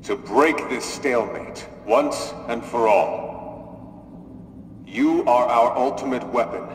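An actor playing a solemn, authoritative military commander in a video game, narrating a war in measured oratory. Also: male voice, medium pitch, close to an everyday voice. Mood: commanding, solemn. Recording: echoing hall with long reverb, hiss.

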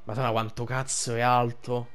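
A man chats casually through a game playthrough. His voice sits at an ordinary middle pitch, and he swears freely in loose, colloquial speech. Faint game music plays behind him. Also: little pitch movement, moderate pace, a bit bright. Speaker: video game streamer. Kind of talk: casual game commentary with reading dialogue aloud. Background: video game music.

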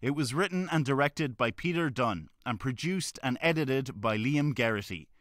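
A man's voice: mid-range voice, animated, moderate pace, a bit bright, no echo. Dry, close-sounding male announcer. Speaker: announcer reading end credits. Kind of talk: scripted narration.

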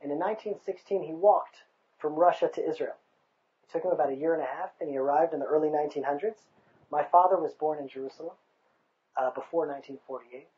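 A man's voice: on the high side, quick pace, highs cut off. A man in his thirties from the United States, speaking passionately in an outdoor interview on a hilltop overlook, recorded with a lapel mic. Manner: passionate, emphatic, rhetorical.

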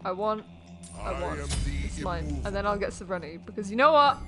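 A young woman with a Yorkshire accent chatting casually as a streamer while playing, excitable, swearing and yelping at the game. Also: medium pitch, brisk. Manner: excitable, swears, yelps in pain and shrieks. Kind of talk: casual gaming chat. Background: game music.

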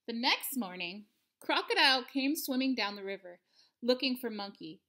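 A woman's voice: fairly high pitch, expressive, moderate pace, sharp tone. A woman in her thirties reading a picture book aloud expressively for children, at home. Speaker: storybook reader. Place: living room at home.

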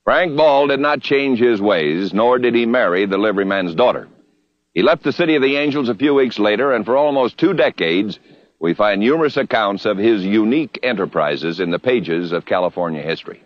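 A man's voice: lowish voice, expressive, a little fast, no room sound. A middle-aged American man reading scripted narration as host of a television western. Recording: dull, dry old TV soundtrack.

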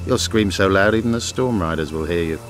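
An actor playing a proud, bitter elf rebel commander in a video game, haughty and grandiose with an edge of menace. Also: male voice, sits low, fairly quick, over music, close to an everyday voice. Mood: menacing, haughty.

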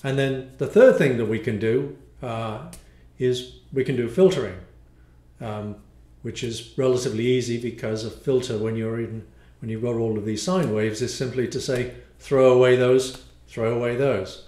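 A man's voice: medium pitch, normal speed, dark tone. Male, 60s, technical presenter, hesitant explanatory delivery, echoey small-room sound. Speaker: module designer presenting a demo. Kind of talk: explanatory product demo, semi-improvised. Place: small home studio room, indoors. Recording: roomy, echoey home room.

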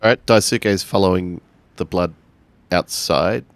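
A man playing a tabletop game, improvising his character's actions haltingly and repeating words as he goes. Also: sits low, no echo. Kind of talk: improvised third-person roleplay narration.

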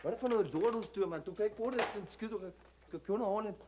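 Guy doing a cocky young street tough, high voice, picks fights, says "mand" all the time. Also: noisy background, close to an everyday voice. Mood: belligerent. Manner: swaggering, aggressive slang, ends lines with "mand". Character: brash young male street tough at a TV casting, hot-tempered. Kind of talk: scripted sketch comedy.

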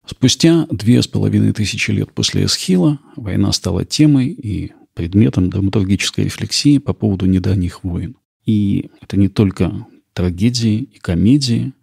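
A man's voice: low-pitched, gravelly, a little mellow, husky, no room sound, studio quality. A man hosting a podcast with scripted documentary narration. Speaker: podcast host.

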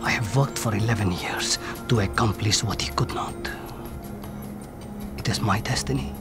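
Man playing solemn determined zealot, lowish pitch, film drama, loud music behind. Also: moderate pace, natural voice. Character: solemn, driven brother on a fateful mission.

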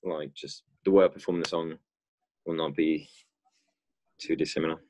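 A young man from France, a guest musician chatting on a video call from inside a car, halting with many fillers and restarts. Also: very deep voice, steady tone, a little mellow, a little hiss or hum. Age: young adult. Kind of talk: casual video call interview.

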